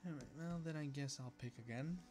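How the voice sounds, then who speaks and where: A man's voice: on the high side, brisk, darkish tone, faint music behind. A man casually reading a visual novel aloud and commenting as he plays, often restarting phrases. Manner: casual, with fillers and repeated restarts. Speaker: gaming YouTuber.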